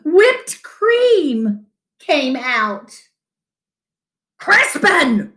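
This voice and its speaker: A middle-aged woman tells a children's story in a high, very animated voice. She reads slowly with dramatic pauses. The room gives a noticeable echo. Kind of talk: expressive picture-book reading. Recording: roomy home echo.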